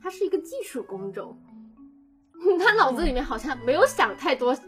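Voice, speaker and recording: High, very animated woman chatting. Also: moderate pace, faint music behind. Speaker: production team member. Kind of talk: casual panel chat among colleagues.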